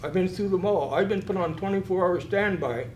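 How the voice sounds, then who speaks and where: A man's voice: sits high, brisk, a little mellow, reverberant, slight background noise. An older man and veteran, asking a blunt, indignant question from the floor of a public forum. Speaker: audience member and veteran. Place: community hall.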